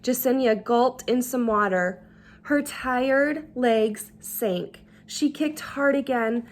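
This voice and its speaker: A woman storyteller reading a children's book aloud with expressive, deliberate, dramatic phrasing. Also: on the high side. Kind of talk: expressive read-aloud narration.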